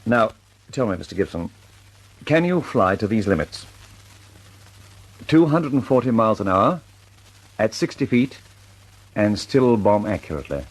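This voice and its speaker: A man's voice: lowish voice, very animated, moderate pace, long pauses, poor audio. An actor playing a fussy, anxious older male bomb inventor in a 1940s radio drama. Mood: fretful.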